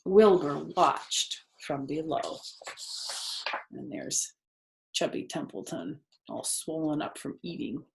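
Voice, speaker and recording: A woman reading a children's story aloud expressively, pausing to explain things to young listeners. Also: deep voice, expressive, vocal fry, a little mellow. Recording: roomy indoor echo.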